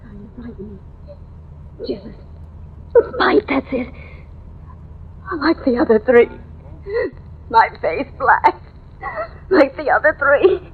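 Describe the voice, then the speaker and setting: An actress playing a frantic, paranoid wife alone at home, breathless and halting, in old-time radio melodrama style. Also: female voice, fairly high pitch, expressive, breathy edge, exaggerated voice. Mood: terrified, distraught. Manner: breathless, halting, repeating herself. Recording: muffled old radio drama recording.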